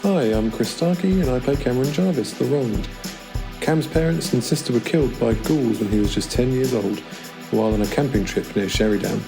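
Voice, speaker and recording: Man introducing a character over clear music. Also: mid-range voice, brisk, rough recording. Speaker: roleplaying podcast player.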